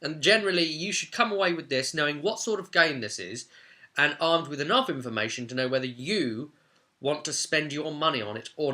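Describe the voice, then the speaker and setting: A man's voice: on the high side, bright, forceful tone. A man presenting an informal explainer on game rules in an enthusiastic, conversational manner. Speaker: presenter of a tabletop game guide.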